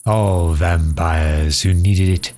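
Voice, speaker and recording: A man playing a courteous, weary vampire leader in an audio roleplay, pleading in formal, measured, old-fashioned words with a hushed, breathy voice. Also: sits low, steady tone. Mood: sombre, earnest. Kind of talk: scripted ASMR roleplay acting.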